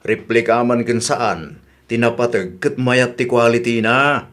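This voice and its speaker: A man's voice: mid-range voice, unhurried, a bit bright, lightly acted. A man playing an easygoing, teasing older husband and father in a comedy radio drama, with an Ilocano accent. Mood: skeptical.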